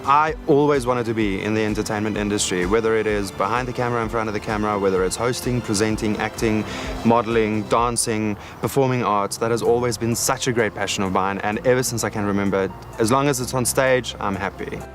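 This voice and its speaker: Eager low-voiced man from South Africa. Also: steady tone, brisk, over music. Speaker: entertainer. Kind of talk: contestant introduction.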